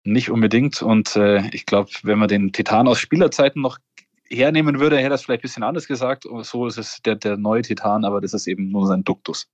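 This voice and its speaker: Low-voiced man, dull lo-fi podcast sound. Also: fairly even intonation, fairly quick, no room sound. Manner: chatty, many fillers, gently mocking. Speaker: podcast co-host, reporter. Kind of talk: casual sports podcast chat.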